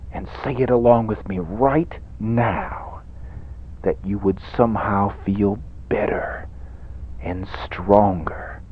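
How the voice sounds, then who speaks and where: Man playing a pompous radio evangelist, tinny band-limited sound. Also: mid-range voice. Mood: hushed coaxing. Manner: exhortatory, grandiose, pompous, builds from hushed coaxing to shouting. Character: fervent, greedy radio evangelist preaching prosperity and car worship. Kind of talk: parody radio sermon. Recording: tinny phone-like 1980s radio recording.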